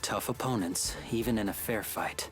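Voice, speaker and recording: An actor playing a serious, determined team leader in a video game cutscene, earnest and resolute. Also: male voice, fairly low pitch, normal speed, natural voice. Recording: loud game music behind.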